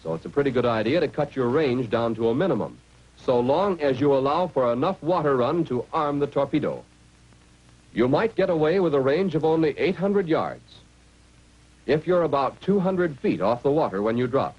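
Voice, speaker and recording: A man's voice: medium pitch, animated, moderate pace, slightly rough. An American man narrating a scripted 1940s military training film, instructing the listener directly on an old film soundtrack. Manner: instructional, direct second-person address. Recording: dull, faintly noisy 1940s film soundtrack.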